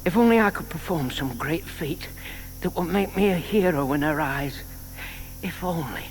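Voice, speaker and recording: Actor doing a timid old bloke in a radio play, lovesick, hesitant, highish voice, faint hiss. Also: male voice, expressive, normal speed, lightly acted, low-quality recording. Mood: dreamy heroics. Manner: hesitant, wistful, muttering to himself. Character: shy, lovelorn, lonely retired gentleman neighbour. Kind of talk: audio drama acting.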